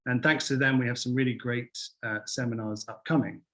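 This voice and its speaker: Relaxed man hosting an online seminar. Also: mid-range voice, a little fast. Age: young adult. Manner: relaxed, with many fillers. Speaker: seminar host. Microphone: video call.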